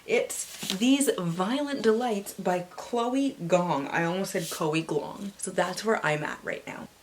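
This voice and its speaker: Low-pitched North American woman over audible hiss. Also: slow. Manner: playful, theatrical, self-interrupting asides. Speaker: book channel host. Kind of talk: casual book haul chat with dramatic blurb readings. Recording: clearly audible background hiss.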